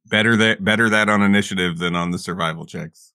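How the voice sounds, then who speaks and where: A man's voice: low voice, fairly even intonation, fast, pushed and bright, a little hiss or hum. A man chatting casually as a tabletop game player, with a dry, teasing way of talking.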